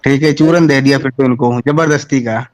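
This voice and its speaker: A man's voice: medium pitch, steady tone, normal speed. A man arguing in a heated online debate, sarcastic and mocking. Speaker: debater on a live stream.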